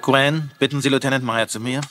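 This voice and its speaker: An actor plays an awkward police investigator in a TV mystery. His medium-pitched voice is hesitant but official, with faint noise behind. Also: fairly even intonation, a little fast, pushed and bright, close to an everyday voice. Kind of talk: dubbed TV crime drama acting. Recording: faint background noise and ambience.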